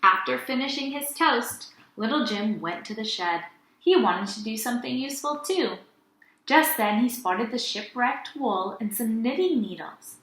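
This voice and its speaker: A woman teacher reading a storybook aloud to children in an expressive, animated way. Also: on the high side, a little slow, reverberant, rough recording. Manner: animated, addresses children as friends.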